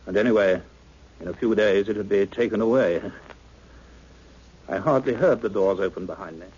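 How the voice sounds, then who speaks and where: A husky, low-voiced British scientist. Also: male voice, normal speed, darkish tone. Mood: reflective. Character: earnest, troubled male scientist, a college doctor, narrating his story. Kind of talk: dramatic radio serial acting and narration. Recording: muffled old-time radio recording.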